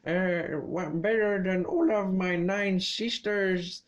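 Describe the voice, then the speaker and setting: A man's voice: high-pitched, steady tone, slow, lo-fi sound, pitched above the performer's own voice. A man mocking a haughty young princess in a raised, sing-song voice.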